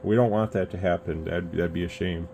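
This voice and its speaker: Man, very deep voice, conversational and earnest reviewer, audible background noise. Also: a little fast. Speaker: YouTube radio reviewer. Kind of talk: casual rambling opinion talk to camera. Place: indoor radio workbench room. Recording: clear steady background room noise.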